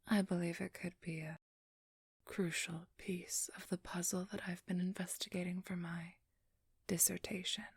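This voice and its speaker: A woman playing a cunning, haughty supernatural thief who poses as a scholar, speaking in a soft, precise, formal whisper for an ASMR roleplay. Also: lowish voice, little pitch movement, moderate pace, breathy edge, dry recording, studio quality. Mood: scholarly, assured.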